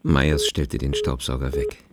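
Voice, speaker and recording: Very deep, gravelly male narrator. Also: huge pitch swings, measured pace, very noisy. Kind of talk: scripted literary radio play narration.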